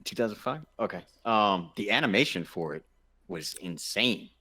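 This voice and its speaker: A man's voice: on the low side, lively intonation, moderate pace, bright, forceful tone. A man co-hosting a casual chat, giving his opinions emphatically and repeating himself for stress. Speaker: podcast co-host.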